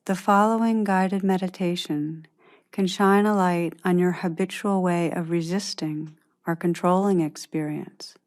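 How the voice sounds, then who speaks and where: A woman leading a guided meditation, speaking gently and deliberately, with spacious pauses between phrases. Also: fairly low pitch.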